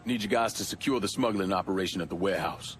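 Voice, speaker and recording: A male actor plays a ruthless underworld leader in a crime game. He gives clipped, menacing talk at a quick pace in a lowish voice. Music is clearly heard beneath. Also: close to an everyday voice. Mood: grim, commanding. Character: ruthless, commanding crime boss out for revenge. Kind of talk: video game cutscene acting.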